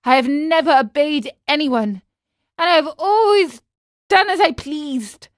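Woman as a frightened puppet boy, very high voice, tearful, pleading, storybook character, close dry sound. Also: big pitch swings, slow, no echo, heavily acted. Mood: miserable regret. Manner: wailing, pleading.

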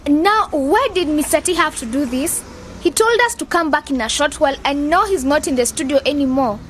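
An actress playing an excitable, outspoken young woman in an educational radio drama, with an emphatic, teasing manner. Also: high-pitched, brisk, slight background noise. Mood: annoyed.

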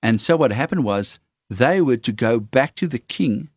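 A man with an Afrikaans accent who trains worship leaders, talking earnestly and haltingly with many fillers. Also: mid-range voice, lively intonation, no room sound, studio quality. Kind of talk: casual conversation on faith.

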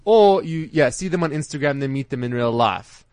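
Lively, teasing radio host. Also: male voice, a little high, normal speed, clean tone, no room sound. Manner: teasing, laughing often. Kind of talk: casual chat show.

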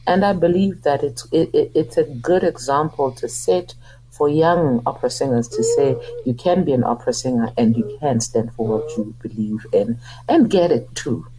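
A woman's voice: extremely low pitch, moderate pace, dark tone, slight background noise, low-quality recording. A middle-aged woman from South Africa, an opera singer speaking earnestly and with passion as a guest in a radio interview. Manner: earnest, impassioned, speaks out against abuse. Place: radio studio.

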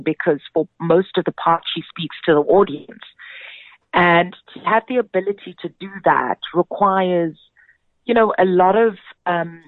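A woman's voice: on the low side, no echo. A South African woman, a theatre director, speaking as a guest in a radio interview. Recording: narrow phone-like sound.

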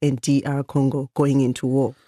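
A man's voice: medium pitch, little pitch movement, warm tone, no echo. A man hosting a radio news magazine from a studio, reading out listener comments. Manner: reads listener comments aloud, brisk and friendly. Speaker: radio host.

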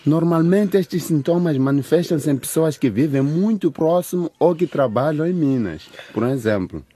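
An actor playing a calm, concerned hospital doctor in a radio drama, measured and courteous. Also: male voice, mid-range voice, no echo, natural voice.

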